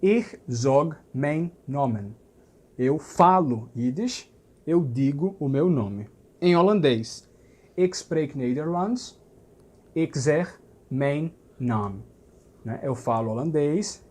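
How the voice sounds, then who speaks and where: A man's voice: medium pitch, lively intonation, slow, mellow. A man teaching a lesson, explaining patiently and checking understanding as he goes. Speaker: language teacher. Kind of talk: recorded language lesson.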